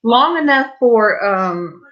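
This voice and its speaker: A woman's voice: fairly high pitch, animated, slow pace, highs cut off. A middle-aged woman with a Southern US accent, chatting casually at home and rambling to her viewers while she paints. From Southern California. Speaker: vlogger. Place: home, indoors.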